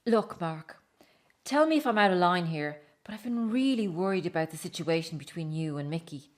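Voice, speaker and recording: A middle-aged woman acting in a radio drama as a remorseful, hurt estranged wife and mother, speaking in an emotional, halting, confessional way. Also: lowish voice, lively intonation, close to an everyday voice. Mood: concerned, gentle.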